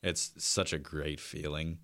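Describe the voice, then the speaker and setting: A man's voice: rumbling low, little pitch movement, slow pace, fairly bright tone, no room sound, clean studio sound. A young man with a Midwestern American accent and a distinctive radio-ready voice, chatting casually as a guest. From Iowa, United States. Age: young adult. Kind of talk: casual chat. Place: radio studio. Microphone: close studio microphone.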